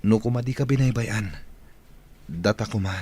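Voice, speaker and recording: A man acting an excitable, apologetic young village son in an Ilocano radio drama, with an Ilocano accent and an emotive delivery. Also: on the low side, normal speed, breathy edge. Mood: regretful, earnest.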